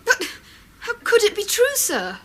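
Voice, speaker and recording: A woman's voice: very high-pitched, huge pitch swings, measured pace, sharp tone, exaggerated voice. A woman playing a logical, bossy English schoolchild, the eldest sibling. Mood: puzzled, arguing. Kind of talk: radio drama acting.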